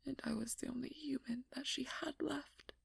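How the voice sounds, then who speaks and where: A woman playing a haunted, determined vampire hunter in an ASMR audio role-play, confessing slowly and haltingly in a breathy, husky whisper, with pain in her delivery. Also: sits high, steady tone. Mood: anguished, tearful dread. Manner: slow, halting, pained confession in short broken phrases.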